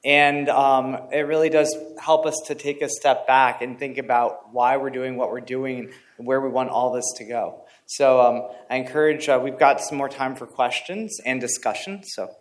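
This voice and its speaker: A man's voice: mid-range voice, fairly even intonation, moderate pace, few pauses. A man acting as session moderator, making informal spoken remarks.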